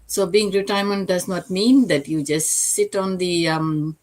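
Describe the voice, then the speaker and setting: A woman in her sixties from Fiji, speaking informally as a mentor on an online panel, with hesitant, halting phrasing. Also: lowish voice, fairly even intonation, darkish tone. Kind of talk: informal self-introduction in an online session.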